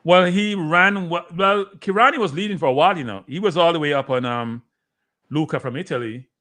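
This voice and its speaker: Higher-pitched man commentating live. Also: a little fast, a bit bright. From Jamaica. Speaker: watchalong host and commentator. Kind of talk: live casual sports commentary.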